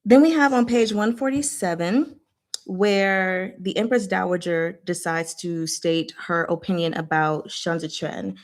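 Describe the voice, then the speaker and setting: A woman's voice: lowish voice, a little slow. A woman hosting an informal book discussion, talking in a casual, blunt, slangy way and often interrupting herself to address her listeners. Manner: scattered, self-interrupting, talks to herself and audience. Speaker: host of a book discussion session. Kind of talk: informal live book discussion, recapping plot.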